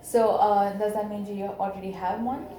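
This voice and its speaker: A woman's voice: medium pitch, fairly even intonation, moderate pace, warm tone, slight background noise. A woman acting as a counselor, asking tentative, probing questions. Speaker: counselor in a role-play. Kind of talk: counseling session. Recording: echoey room recording.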